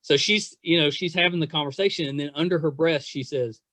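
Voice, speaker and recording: A man's voice: on the high side, brisk, a bit bright, no echo. A middle-aged man hosting a casual chat interview, gushing and repeating himself for emphasis. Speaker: podcast host interviewing an actress.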